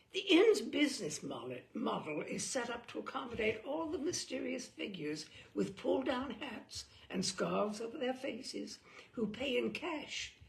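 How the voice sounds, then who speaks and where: A woman reading a humorous book aloud in a theatrical, deadpan way, with dramatic emphasis and pauses. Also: on the high side, vocal fry. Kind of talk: theatrical read-aloud of dry comic prose.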